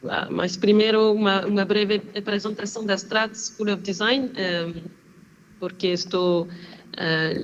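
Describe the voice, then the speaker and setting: A French woman talks slowly through a presentation in her second language, hesitating and restarting often. Her voice comes through a muffled, lo-fi remote call with a faint hiss behind it. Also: mid-range voice. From France. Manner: hesitant, many fillers and restarts. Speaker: panel speaker, design school head. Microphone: remote video call.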